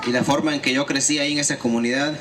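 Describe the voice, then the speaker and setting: A man giving a fluent, steady consecutive interpretation at a public gathering. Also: mid-range voice, racing, a little hiss or hum. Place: outdoor gathering.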